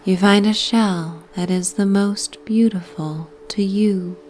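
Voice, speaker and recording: A woman narrating a bedtime sleep story in a soft, breathy, half-whispered, soothing ASMR manner. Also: medium pitch, fairly even intonation, takes it slowly, slight background noise.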